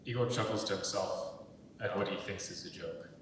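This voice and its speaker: A young adult man reading stage directions as scripted narration for a dramatic reading. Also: normal speed. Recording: very echoey, distant, rumbling background noise.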